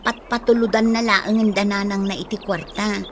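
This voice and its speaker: An actress with an Ilocano accent playing a practical, nosy young best friend in a radio drama. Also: female voice, medium pitch, steady tone, moderate pace, slight background noise, natural voice. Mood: practical, sympathetic.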